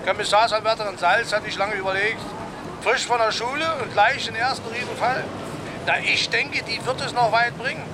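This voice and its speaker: An actor playing a curt, sarcastic middle-aged police chief in a radio drama. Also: male voice, very high-pitched, fairly even intonation, moderate pace, noisy background. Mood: dismissive. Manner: curt, ironic, commanding.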